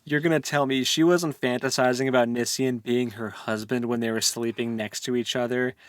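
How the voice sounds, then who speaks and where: A man's voice: mid-range voice, fairly even intonation, fairly quick, no room sound. Man chatting casually, dry close sound. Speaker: podcast co-host. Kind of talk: casual book discussion chat.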